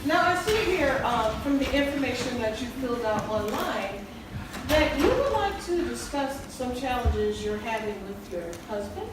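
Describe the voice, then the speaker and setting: A woman's voice: fairly high pitch, lively intonation, moderate pace, lightly acted. A woman acting a composed, polite counselor who questions her clients in a stage play. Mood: polite, probing. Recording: very echoey stage, distant mic, noisy.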